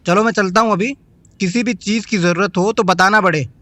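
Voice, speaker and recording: An actor playing a brisk, caring, bossy male friend in an audio drama. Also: sits high, fairly even intonation, sharp tone, no echo.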